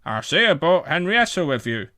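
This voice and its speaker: A man's voice: on the high side, sing-song, normal speed, sharp tone, no room sound, studio quality, pitched above the performer's own voice. A man voicing a pompous but kindly railway controller who blusters, in a children's storybook. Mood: pleased. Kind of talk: children's storybook character reading.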